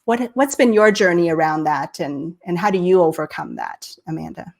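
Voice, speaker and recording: A woman's voice: on the low side, normal speed. Texas lady hosting a live stream, kinda nasal, super enthusiastic. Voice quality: slightly nasal. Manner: enthusiastic, many "right?" and "I love it". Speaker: host.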